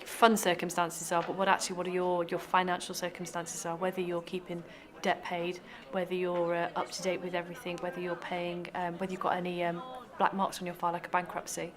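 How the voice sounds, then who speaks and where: A woman's voice: lowish voice, monotone, a little fast, few pauses. A woman workshop organiser explaining activities in an interview. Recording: clear crowd chatter behind.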